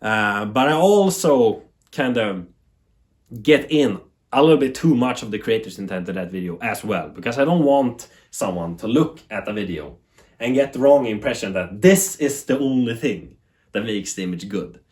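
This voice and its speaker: A man's voice: lowish voice, normal speed. Rambling Swedish-accented man. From Sweden. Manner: rambling, animated, laughs at himself. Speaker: TV tech YouTuber. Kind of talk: casual talk to camera.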